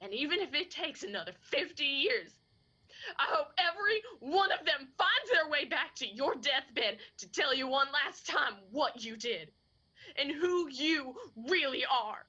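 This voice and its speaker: A woman's voice: sits high, lively intonation, unhurried, pushed and bright, highs cut off, full character voice. An actress in a radio drama playing a wounded, bitter young woman with an Appalachian accent, speaking with anguished, folksy emphasis. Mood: bitter grief. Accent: Appalachian American.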